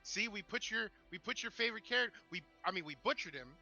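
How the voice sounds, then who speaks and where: A man's voice: high voice, expressive, fast talker, dull audio, music in the background. A man co-hosting a casual discussion, making his points emphatically and stammering over repeated words. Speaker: show co-host. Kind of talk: animated casual opinion and discussion.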